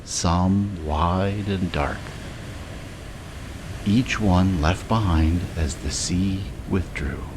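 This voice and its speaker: A man with a low-pitched voice leads a guided meditation over clearly audible ambient noise. He reads scripted lines very slowly and in a measured way, leaving long pauses between short phrases.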